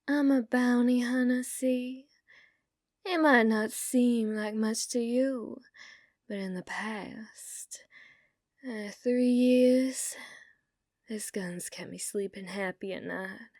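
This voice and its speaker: A woman playing a flirtatious, teasing, dominant female bounty hunter in a western ASMR roleplay, coaxing with drawn-out endearments. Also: a little high, a little mellow, dry recording, studio quality. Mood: soft, teasing. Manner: coaxing, drawling endearments, drops into breathy whispers. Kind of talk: ASMR roleplay, scripted character acting.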